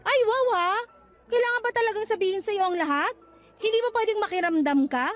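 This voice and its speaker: A woman's voice: extremely high pitch, fairly quick, faint music behind. An actress in a radio drama playing a hurt, guarded young mother answering her ex with exasperated reproach. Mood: exasperated, sarcastic.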